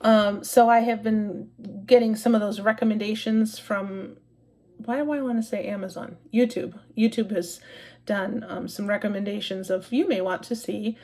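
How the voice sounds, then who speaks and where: A woman in her forties, originally from Maine, talks casually to her viewers about her stitching hobby. She rambles, restarts her sentences and fills the gaps with plenty of "um"s, in a friendly everyday voice at a middle pitch. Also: steady tone, moderate pace, mellow. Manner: chatty, rambling, self-correcting with many fillers. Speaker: cross-stitch vlogger. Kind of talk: casual chat to camera.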